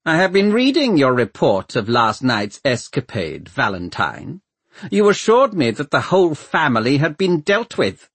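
Man voicing a cold, thin-voiced old ruler, pitched high. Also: huge pitch swings, a little slow, husky, no room sound, heavily acted. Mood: cold, accusing. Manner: clipped, imperious. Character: cold, thin-voiced old Lord Mayor, gloomy, commanding. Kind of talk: audiobook character voice.

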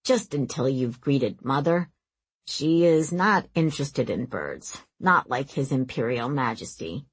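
A woman voicing an imperious, controlling young nobleman in a measured, formal manner for an audiobook. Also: rumbling low, expressive, husky, no echo, voice pushed down, full character voice. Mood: politely firm.

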